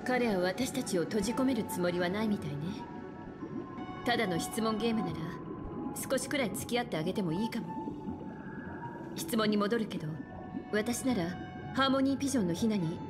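Cool, composed young woman voiced by an actress, mid-pitched. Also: a little fast, pauses often, natural voice. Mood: calm, matter-of-fact. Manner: cool, composed, feminine phrasing. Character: calm, self-possessed, mature elegant young woman. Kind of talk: Japanese game voice acting. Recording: loud game music behind the voice.

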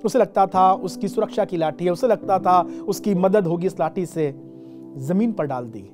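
A man in his forties, a bishop with an Indian accent, preaching a sermon to camera and slowing down to stress English phrases. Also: sits high, music in the background. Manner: dramatic, slows for emphasis, switches into English phrases. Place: dark studio set with a lamp.

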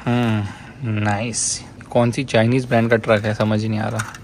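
A man's voice: on the low side, normal speed, noisy background. A man in his thirties chatting and joking as he films a casual travel vlog, walking outdoors in the cold and through a shop with a handheld camera. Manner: chatty, joking, lively narration while walking and shopping. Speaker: vlogger. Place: outdoors in cold weather, a supermarket, a motorhome. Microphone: handheld action camera.

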